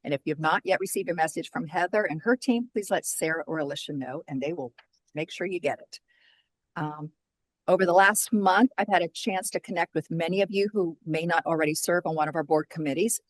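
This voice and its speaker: A woman chairing a formal board meeting over a video call, speaking in a measured, procedural way. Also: sits low, no room sound, low-quality recording.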